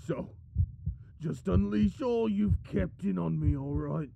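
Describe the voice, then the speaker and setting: A man acting in an ASMR audio roleplay as a devoted, anguished royal lover, pleading and soothing in a halting, tender way. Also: high-pitched, gravelly, dark tone, slight background noise, full character voice. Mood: desperate pleading. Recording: muffled, echoey, lo-fi.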